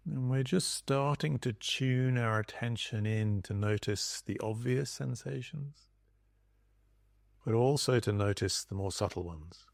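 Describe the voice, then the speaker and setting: A man leading a guided body scan meditation as a teacher, speaking in calm, gentle instructions with long pauses. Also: mid-range voice, vocal fry, no echo.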